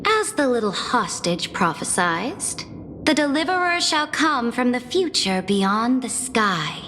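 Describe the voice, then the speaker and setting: A woman's voice: fairly high pitch, big pitch swings, a little slow, noisy background. A woman playing a young, merciless and coolly amused tyrant empress in an anime game, with a commanding, haughty and theatrical delivery. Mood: grand, self-assured. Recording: loud music behind.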